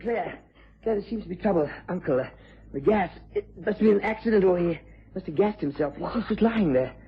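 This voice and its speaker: An actor playing an earnest, agitated young upper-class British nephew in a vintage radio drama. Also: male voice, high-pitched, lively intonation, fairly quick, husky, dull audio, full character voice. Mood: shocked, urgent. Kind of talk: 1950s-60s British radio drama acting.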